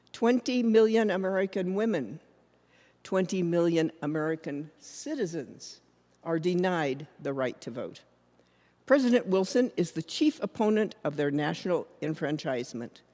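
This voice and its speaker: A woman playing a proud, defiant American suffragist, giving an emphatic, deliberate speech in a readers theater. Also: fairly low pitch, darkish tone, lightly acted. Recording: reverberant hall, long echo.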